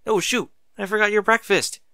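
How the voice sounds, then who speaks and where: A man voicing a caring, harried mother in a storybook narration, gently coaxing and fretful. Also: sits high, fairly even intonation, normal speed, no room sound, studio quality, pitched above the performer's own voice. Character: caring, harried mother, cheerful but worried. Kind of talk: audiobook character dialogue.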